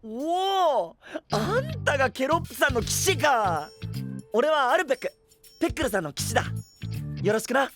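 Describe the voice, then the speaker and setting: A man's voice: very high voice, very animated, normal speed, over music. A man playing a naive, cheerful young knight in an anime audio drama, speaking in a boyish, casual, wide-eyed way. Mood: friendly. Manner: boyish, casual, openly amazed.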